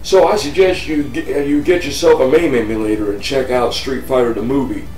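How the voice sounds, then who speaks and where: A man's voice: medium pitch, a little fast, a little mellow. American man in a roomy, distant recording. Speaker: video game review host. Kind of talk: casual opinionated vlog talk to camera. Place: living room on a couch, indoors. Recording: roomy sound, distant mic, music behind.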